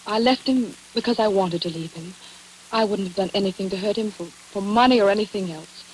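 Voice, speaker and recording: An actress playing an earnest, anguished widow in an American radio drama, speaking in a halting, pleading, melodramatic way. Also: female voice, medium pitch, lightly acted, rough recording. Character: earnest, anguished estranged wife turned widow, protesting innocence. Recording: old radio recording, hiss like rain.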